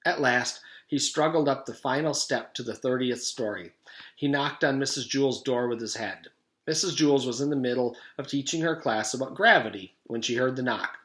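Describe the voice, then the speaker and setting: A man reads a children's story aloud in the manner of a schoolteacher. His voice is bright and clear in intent, with expressive, deliberate phrasing, and the room adds noticeable echo. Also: medium pitch. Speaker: teacher reading aloud. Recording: echoey room.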